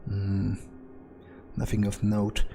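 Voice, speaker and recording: A man giving in-character gameplay commentary, thinking aloud in a musing, hesitant way with pauses mid-phrase. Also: lowish voice, monotone, creaky, mellow. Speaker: gamer doing an in-character playthrough. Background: game music.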